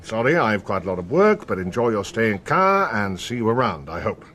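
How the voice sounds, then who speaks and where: An actor playing a wry, world-weary French police inspector in a naturalistic radio drama, sardonic and colloquial. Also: male voice, lowish voice, very animated, brisk, fairly bright tone. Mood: wry recounting.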